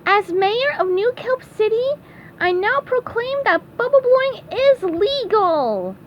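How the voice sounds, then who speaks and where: A woman's voice: extremely high pitch, sing-song, slow pace, pitched above the performer's own voice, exaggerated voice. A woman voicing a childlike, polite, bewildered male cartoon sea sponge in a children's storybook reading. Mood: proud. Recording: dull, noisy recording.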